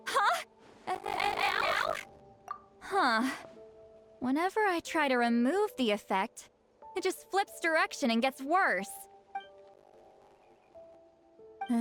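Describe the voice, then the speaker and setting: A slow, expressive ageless shaman girl. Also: high-pitched, full character voice. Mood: flustered. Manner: flustered, halting, stammering. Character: ageless reclusive shaman woman, intimidating front, awkward and lonely. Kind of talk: anime-style video game voice acting. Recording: music behind the voice.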